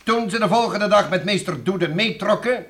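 An actor playing an earnest, easily exasperated guild master presiding over a meeting in a radio play, with emphatic delivery. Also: male voice, sits high, normal speed, fairly bright tone. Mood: earnest, reporting.

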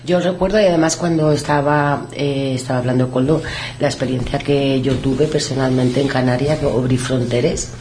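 A woman co-hosting a radio talk show, telling her experiences in animated fashion and agreeing often. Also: extremely low pitch, moderate pace, slight background noise. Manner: animated storytelling, many affirmations. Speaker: radio co-host. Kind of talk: casual radio discussion.

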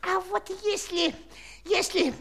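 A woman's voice: very high voice, fairly even intonation, slow pace, creaky, fairly bright tone, heavily acted. A woman playing a small, boastful, quarrelsome number who argues emphatically in a children's audio play. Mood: stubborn, boastful. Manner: argumentative, emphatic. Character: stubborn boastful little number One, quarrelsome.

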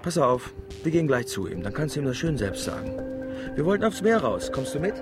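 An actor playing a wry, avuncular middle-aged biologist who teases, in a naturalistic radio drama. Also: male voice, on the high side, sing-song, brisk, loud music behind the voice. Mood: conciliatory. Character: wry, avuncular biologist, teasing older family friend.

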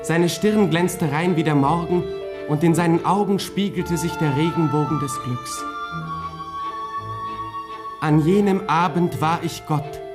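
An actor as an earnest, higher-voiced young lieutenant. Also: male voice, a little slow, long pauses, music in the background, natural voice. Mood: solemn, moved. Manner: hesitant, earnest, formal. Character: earnest, conflicted young lieutenant, soft-hearted and evasive. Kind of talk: German radio drama acting, part first-person narration.

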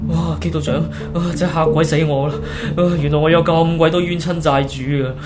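A man's voice: on the high side, fairly even intonation, a little fast, loud music behind the voice, close to an everyday voice. A man playing a frightened, flustered client of an exorcist in a radio drama, full of exclamations. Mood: shaken relief. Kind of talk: Cantonese radio drama acting.

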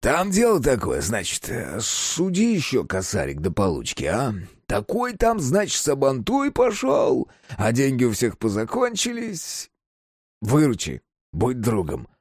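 A man's voice: mid-range voice, huge pitch swings, unhurried, husky, dry recording, clean studio sound, exaggerated voice. A man voicing a sly, corrupt village policeman who wheedles and then hisses threats. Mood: wheedling. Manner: wheedling, then hissing and menacing, filler-heavy patter. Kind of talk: audiobook character voice.

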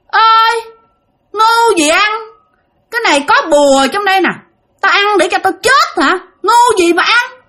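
A woman's voice: very high-pitched, animated, measured pace, pauses often, bright, forceful tone, pitched above the performer's own voice, full character voice. A woman voicing a cocky gangster ghost who has possessed a young woman, taunting in brash, defiant street talk. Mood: scornful.

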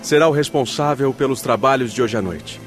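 A man's voice: medium pitch, brisk, over music, natural voice. An actor playing a learned, courteously authoritative male spirit instructor in a radio drama, formal and instructive. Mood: solemn explaining.

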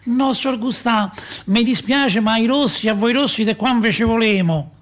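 A man voicing an officious saint at heaven's gate in a radio play, slow and apologetic, with a Roman accent. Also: extremely high pitch, voice pushed up. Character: Saint Peter as an officious heavenly gatekeeper turning someone away.

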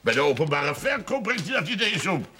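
A man's voice: a little high, sing-song, brisk. A middle-aged man playing a blunt, shrewd village businessman in a television period drama. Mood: brisk, indignant. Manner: emphatic, plain-spoken, forceful. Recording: faint background noise on TV audio.

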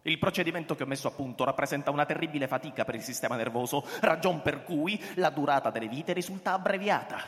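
A man's voice: on the high side, fast, hardly any pauses, fairly bright tone, lightly acted. An actor playing an eccentric, bitter old man who sells illegal escapes, speaking formally and theatrically in a radio drama. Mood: explanatory. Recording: long hall reverb, music behind.

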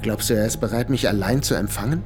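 An actor playing a polite, guarded assassin in a radio drama, speaking in a courteous and controlled manner. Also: male voice, on the low side, quick pace, a little mellow, lightly acted. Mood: guarded, tense. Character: polite, guarded male assassin posing as a friend. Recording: studio radio play with music underneath.

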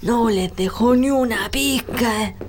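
An actress playing a bossy, superstitious country healer in a radio drama, admonishing and ominous, with a rural Chilean accent. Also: female voice, on the high side, unhurried, a little hiss or hum, close to an everyday voice.

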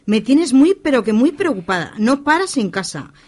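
An actress playing a fretful, complaining wife from in a radio drama. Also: female voice, on the high side, very animated, normal speed. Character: nagging worried wife.

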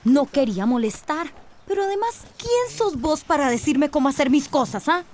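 A woman's voice: sits high, lively intonation, moderate pace, a little mellow, a little hiss or hum. A woman playing a proud young journalist in a radio drama, argumentative and insistent. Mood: defensive, defiant.

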